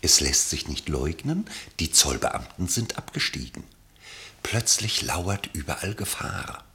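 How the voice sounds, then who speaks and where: A man narrating a radio play from a literary script in a measured, dryly ironic way. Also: low-pitched, very animated, sharp tone.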